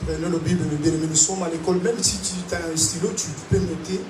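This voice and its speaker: A man from Africa preaching a sermon to a congregation, animated and calling out to them. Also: a little high, fast, over music. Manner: animated preaching with call-and-response. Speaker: pastor. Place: large church hall. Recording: echoey hall, distant mic, noisy.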